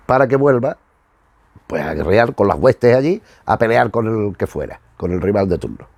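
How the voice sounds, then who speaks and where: A man's voice: mid-range voice, gravelly, a little mellow, dry recording, clean studio sound. A middle-aged man with a Spanish accent from Spain, giving unscripted commentary in a rambling, digressive, self-correcting way. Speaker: host of a retro television review channel.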